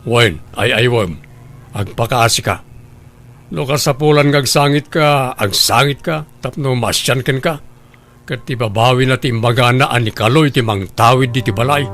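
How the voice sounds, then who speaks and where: A man's voice: mid-range voice, moderate pace, fairly bright tone, soft background music, natural voice. A man acting a scheming, grasping husband in an Ilocano radio drama, goading and argumentative.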